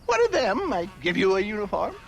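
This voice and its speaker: A man playing an eccentric old gentleman in a video game, theatrical and florid, with a morbidly cheerful temper. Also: high-pitched, huge pitch swings, a little fast, vocal fry, full character voice. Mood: wry, theatrical. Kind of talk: video game cutscene acting.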